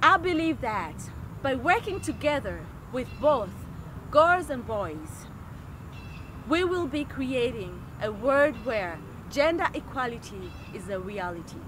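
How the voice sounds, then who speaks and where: High-voiced young Tanzanian woman, slow and deliberate. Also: very animated, background noise. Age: young adult. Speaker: non-profit founder and director. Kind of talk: spoken-word performance and scripted personal statement. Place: outdoors in a leafy park.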